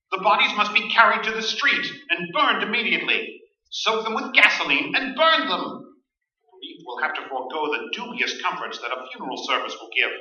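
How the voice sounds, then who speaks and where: Sharp, forceful grim male expert. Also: a little high. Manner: clinical, emphatic. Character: grim, blunt expert giving emergency advice. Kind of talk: staged radio play acting. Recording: echoey stage, distant, dull sound.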